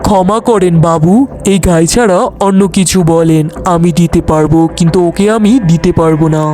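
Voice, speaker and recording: A woman voicing a humble, loyal male jackal in a cartoon, gentle and deferential. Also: fairly low pitch, steady tone, normal speed, faint music behind, close to an everyday voice. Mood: pleading, firm.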